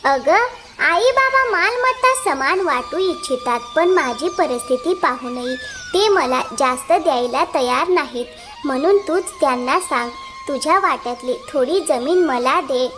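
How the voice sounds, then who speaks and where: A woman voicing a scheming, insistent elder married sister from a village in an animated moral story. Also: high voice, measured pace, music in the background. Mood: pleading, persuasive.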